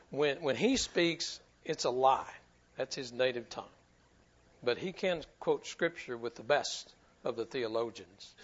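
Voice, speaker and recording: A man's voice: medium pitch, lo-fi sound. A man preaching a sermon to his church in a folksy, repetitive way, often stumbling over his words. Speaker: pastor.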